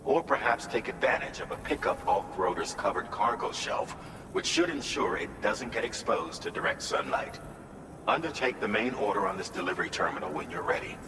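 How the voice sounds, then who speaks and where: A man playing a composed, formal mission controller in a video game, speaking in measured, articulate and polite briefings. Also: expressive, natural voice. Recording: echoey hall, distant, noisy rumbling ambience.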